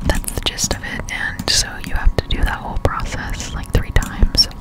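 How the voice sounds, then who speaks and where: A young woman whispers casual, halting ASMR gameplay commentary, breathy and close to the microphone. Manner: casual, halting, slangy explanation. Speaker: gaming ASMR streamer. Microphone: close-up desktop condenser microphone.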